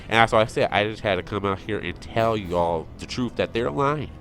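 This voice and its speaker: A man with a low-pitched voice speaks as an online commentator. He rants like an opinionated fan, pressing his points insistently and circling back to them with exasperation. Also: animated, normal speed, fairly bright tone, soft background music.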